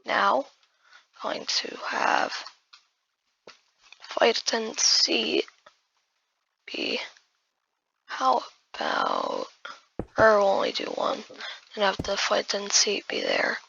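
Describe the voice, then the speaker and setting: A hoarse woman who has just woken up, giving casual gameplay commentary while building and often muttering in half-whispers to herself. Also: medium pitch, wildly swooping pitch, slow, pauses often, bright, forceful tone, dull audio, slight background noise. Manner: muttering half-whispered asides to self, addressing viewers as guys. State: hoarse, losing voice after just waking up. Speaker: gaming video creator.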